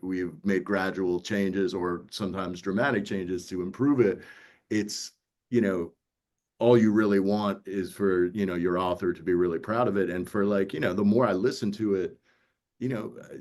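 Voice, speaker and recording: A man's voice: lowish voice, darkish tone. A man talking casually and earnestly as an audiobook producer in a panel conversation, explaining things with plenty of "you know" and "like".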